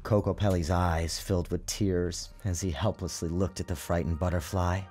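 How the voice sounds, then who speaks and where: A man narrating his own story as a dramatic storybook reading, in a theatrical, measured and expressive manner. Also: low voice. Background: music.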